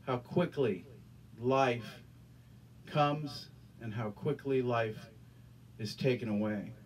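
Earnest middle-aged pastor, handheld mic. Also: male voice, medium pitch, slow, darkish tone, distant mic, a little hiss or hum. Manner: earnest, repeats words, prayerful cadence. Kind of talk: sermon and prayer. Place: small church stage with curtains. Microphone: handheld microphone.

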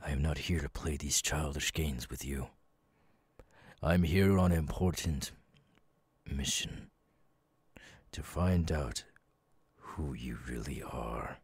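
A man plays a cold, cruel demon lord in an ASMR roleplay. The voice is extremely low and very husky, breathing out slow, deliberate threats with imperious command. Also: no room sound, heavily acted. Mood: stern, menacing. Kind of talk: ASMR audio roleplay monologue.

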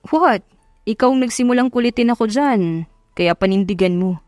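A woman's voice: mid-range voice, lively intonation, normal speed, darkish tone, dry recording, clean studio sound, soft background music, lightly acted. A woman voicing a doting, teasing young husband in a romance audiobook, tender and mixing English phrases into Tagalog. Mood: playfully insistent.

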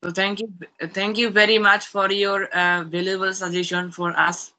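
Hesitant woman, bright voice. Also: medium pitch, flat delivery. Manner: hesitant, many fillers and pauses. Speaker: interviewer, channel host. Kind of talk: casual interview.

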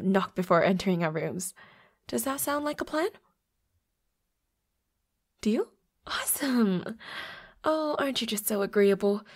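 A woman voicing a flirty, playfully predatory vampire roommate in an intimate audio roleplay, with a coy, teasing manner. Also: medium pitch. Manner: coy, giggly, teasing, drops into breathy whispers.

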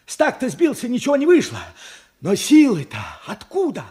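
An actor playing a weary older widowed steelworker who recalls his war losses in halting, reflective phrases, in Soviet radio drama style. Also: very high voice, huge pitch swings, mellow, slightly rough, heavily acted. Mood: impassioned.

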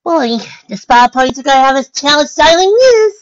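A woman voicing an excitable, bubbly female party pony with a loud, bouncy delivery for a story reading. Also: sits high, moderate pace, voice pushed up. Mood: exasperated, loud. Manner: exclaiming, bouncy, blunt. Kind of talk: fan fiction story reading. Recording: dull lo-fi recording.

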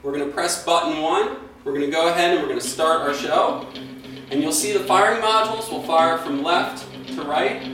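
A middle-aged man giving an instructional product demonstration in a deliberate, explanatory way. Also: on the high side, rough recording. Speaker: product presenter.

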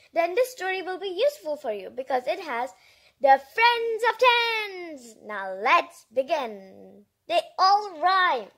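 A woman's voice: high-pitched, a bit bright. A female reader reading a picture book aloud with chatty asides, in a childlike, enthusiastic, exclaiming manner. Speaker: storybook reader and host.

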